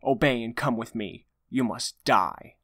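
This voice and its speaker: A man's voice: mid-range voice, unhurried, bright, forceful tone, dry recording, clean studio sound. A man playing a proud, mournful and righteous young nobleman in a dramatic audio drama, speaking in an earnest, declamatory way. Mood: indignant. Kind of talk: dramatic audio drama acting, modernized Shakespeare.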